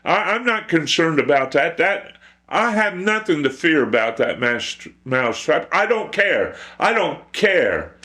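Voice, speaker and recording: A man's voice: fairly high pitch, very animated, a little slow, sharp tone, voice pushed up, heavily acted. A man voicing a big, unbothered barn cow in a storytelling, mooing and repeating itself dismissively.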